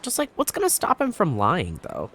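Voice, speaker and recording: Guy doing casual lets play reactions, super animated pitch, slight background noise. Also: moderate pace. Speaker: gaming video host.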